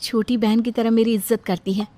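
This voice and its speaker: Woman as a high-voiced, gentle young wife. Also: steady tone, a little fast, dry recording, lightly acted. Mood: pleading. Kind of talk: Hindi cartoon story voice acting.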